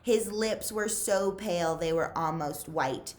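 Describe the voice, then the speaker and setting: A woman reading a story aloud to young listeners in an expressive, deliberate, suspenseful storytelling manner. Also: fairly low pitch. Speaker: librarian reading aloud to children. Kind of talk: expressive read-aloud storytelling, pausing to ask listeners questions.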